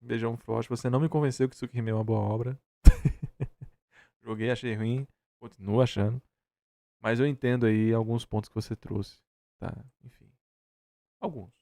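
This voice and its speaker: A Brazilian man speaks in a mellow, unforced tone, recorded dry and close. He is opinionated and informal, debating as a reaction streamer and hammering points by repeating them. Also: mid-range voice, steady tone, normal speed, creaky, pauses often, no echo. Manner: blunt, opinionated, slangy, repeats himself for emphasis. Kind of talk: casual heated debate while reacting to a video.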